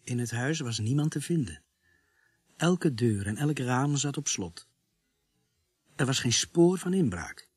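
A man playing a sombre police sergeant who narrates a radio play in a grave, deliberate, husky voice. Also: medium pitch, expressive, darkish tone, no room sound, clean studio sound. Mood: grim, measured. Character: sombre male police sergeant recounting a grisly night.

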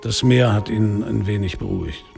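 An actor playing a weary, sardonic middle-aged police inspector, telling his story in a radio drama in a dry, measured, gravelly voice. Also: on the low side, music in the background. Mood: resigned narrating. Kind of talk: first-person radio drama narration and dialogue.

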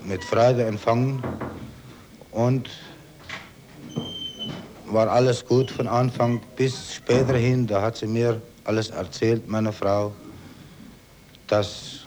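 A man giving a personal account in halting, simple, broken phrases. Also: fairly low pitch, darkish tone. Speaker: returned prisoner of war. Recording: old recording with faint noise.

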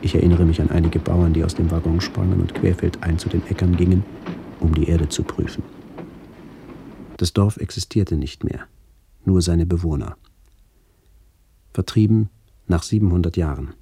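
Very deep, dark-voiced male narrator. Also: steady tone, normal speed, creaky, pauses often, a little hiss or hum. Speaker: narrator recalling childhood memories. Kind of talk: scripted literary narration for a radio documentary.

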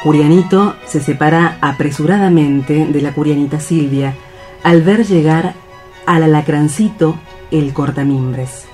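A man's voice: fairly high pitch, unhurried, a little mellow. A man reading scripted scene narration for a radio play. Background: music.

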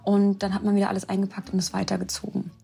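A woman's voice: medium pitch, monotone, rapid-fire, vocal fry, mellow, soft background music. A woman speaking spontaneously as an expert interviewee, explaining things in a conversational, emphatic way.

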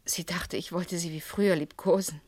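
An actress playing a defiant, evasive young student in a vintage radio crime drama, curt and defensive under questioning. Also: female voice, fairly low pitch, steady tone, moderate pace, a little mellow, no room sound, natural voice. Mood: grave, confessing.

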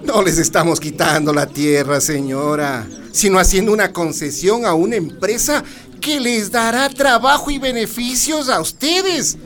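An actor playing a haughty government official who talks in a formal, dismissive way. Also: male voice, sits high, normal speed, a bit bright. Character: haughty male government official, ministry delegate.

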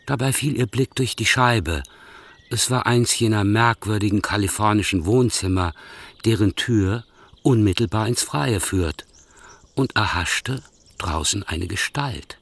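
A man narrating a radio play in a measured, wry storytelling manner. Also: fairly low pitch.

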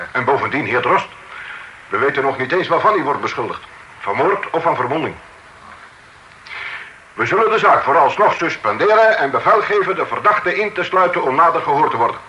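An actor playing a presiding magistrate who questions witnesses in a measured, formal way. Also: male voice, on the high side, big pitch swings, bright, forceful tone, a little hiss or hum, rough recording. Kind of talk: period radio drama acting.

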